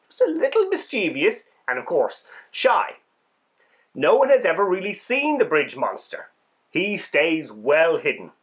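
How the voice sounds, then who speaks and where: Animated high-pitched male children's storyteller. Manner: animated, dramatic delivery with emphasized words. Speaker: children's storybook reader and host. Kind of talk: expressive read-aloud storytelling for kids.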